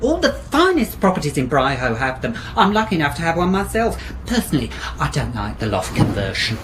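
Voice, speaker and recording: An actor playing a smooth-talking, pushy male estate agent with breezy sales patter in a radio drama. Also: fairly high pitch, huge pitch swings, brisk, fairly bright tone, slight background noise. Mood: salesy.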